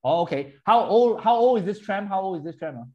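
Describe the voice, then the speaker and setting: Man, tour host, fairly high voice, animated, switches languages, cuts in often. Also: fast talker, highs cut off. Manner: animated, interrupts, switches between English and Mandarin. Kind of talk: bilingual virtual tour chat for seniors.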